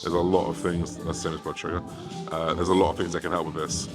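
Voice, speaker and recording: Raspy low-voiced man with half West Country accent. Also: level tone, fast talker. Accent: half West Country English. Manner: chatty and upbeat, swears casually. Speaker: fitness coach and bodybuilder. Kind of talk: casual vlog talk to camera. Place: home, then gym. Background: music.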